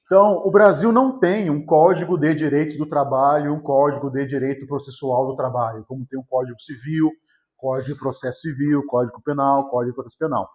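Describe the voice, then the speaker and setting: A man's voice: mid-range voice, steady tone, a little fast, a little mellow. A man with a Brazilian accent from São Paulo state, giving an informal exam-prep history lecture in a colloquial way, often checking in with short tag questions. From São José dos Campos, Vale do Paraíba, Brazil. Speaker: history teacher.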